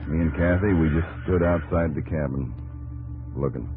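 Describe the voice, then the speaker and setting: An actor playing a weary, earnest young American frontier farmer, speaking in a plain, folksy, dramatic way in an old radio drama. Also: male voice, a bass voice, moderate pace, dark tone. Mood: somber, reflective. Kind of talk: 1950s radio drama acting and narration. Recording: old 1950s radio recording with music behind.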